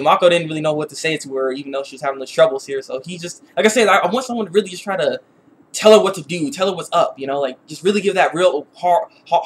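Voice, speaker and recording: A young man hosting a casual online episode review, talking in an excitable, slangy, rambling way and repeating himself. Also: fairly high pitch, slight background noise. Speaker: YouTube reviewer and host.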